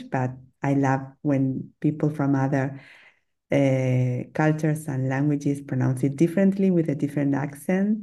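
A woman's voice: extremely low pitch, mellow. A middle-aged woman from Argentina with an Argentine Spanish accent, a native Spanish speaker, talking as a podcast guest in a hesitant, chatty way with frequent fillers and little laughs. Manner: hesitant, many fillers and restarts, laughs often. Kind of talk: casual interview chat.

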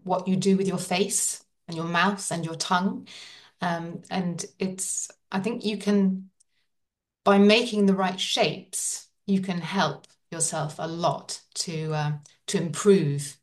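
Woman, casual, full of ums and restarts, lowish voice, a bit far off the mic. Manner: thoughtful, many fillers and restarts. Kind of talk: casual conversation.

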